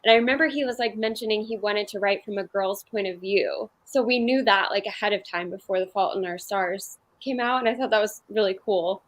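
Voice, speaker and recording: A woman's voice: medium pitch, brisk, hardly any pauses. A woman from the United States chatting casually in an enthusiastic manner. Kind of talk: casual chat.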